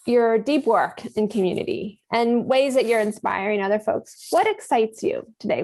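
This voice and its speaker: A woman hosting a podcast, warmly affirming her guest and asking open questions. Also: a little high, creaky. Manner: encouraging, affirming. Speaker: podcast host. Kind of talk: conversational interview.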